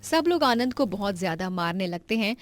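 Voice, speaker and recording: Female narrator for scripted moral bedtime stories; medium pitch, dry sound. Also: animated, moderate pace, no echo.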